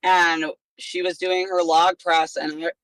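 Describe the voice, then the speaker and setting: A young woman with a deep voice that is raspy from shouting, talking loudly and eagerly with lots of "like" as an interview guest. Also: level tone, measured pace, dry recording, low-quality recording. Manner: loud, enthusiastic, lots of "like". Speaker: strongman athlete guest. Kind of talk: casual interview chat.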